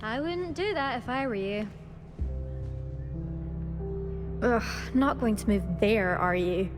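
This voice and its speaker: A woman playing a sharp-tongued, knowing teenage witch in a video game. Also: fairly high pitch, lively intonation, normal speed, over music, lightly acted. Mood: scornful. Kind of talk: scripted video game dialogue.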